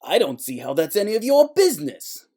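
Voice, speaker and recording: A man as a high-voiced, swaggering theater playboy. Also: huge pitch swings, a little fast, a little mellow, breathy edge, heavily acted. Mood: indignant, defensive. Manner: dramatic, indignant, protective. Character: swaggering, defensive theater playboy pianist fussing over his singer. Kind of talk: noir audio drama acting.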